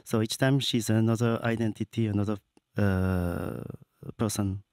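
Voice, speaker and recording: A man's voice: lowish voice, steady tone, normal speed, a little mellow, clear, resonant tone, dry recording, studio quality. A man, a band member in an interview, speaking hesitantly with fillers. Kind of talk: casual interview chat.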